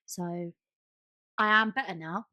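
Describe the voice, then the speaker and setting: A woman's voice: fairly low pitch, slow pace, bright, forceful tone, no echo, dull audio. A woman co-hosting a podcast in casual chat, speaking informally and with emphasis. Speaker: podcast co-host.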